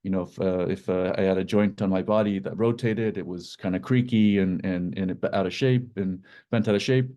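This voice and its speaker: Male guest founder. Deep, mellow voice, informal explainer who gropes for words and repeats himself. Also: fairly even intonation. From Iceland. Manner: hesitant, halting delivery with repeated words. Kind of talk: informal explanatory talk about own work.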